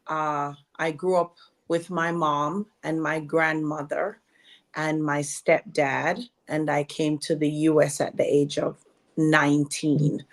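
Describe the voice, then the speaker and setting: Jamaican woman, forties, low voice, slow pace, storytelling guest. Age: 40s. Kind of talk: conversational interview.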